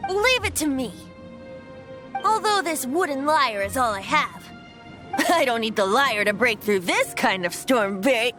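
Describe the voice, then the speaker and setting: A playful young male bard, high and light in voice with a lively, swooping melody. He is whimsical and theatrical, a fantasy game character with flowery phrasing and faint music behind. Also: measured pace, heavily acted. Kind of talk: video game character acting.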